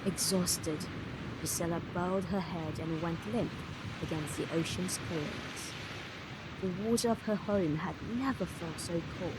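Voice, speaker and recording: Lower-pitched woman narrating dark fantasy. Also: measured pace, very noisy. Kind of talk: dramatic scripted narration of a visual novel. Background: music clearly behind the voice.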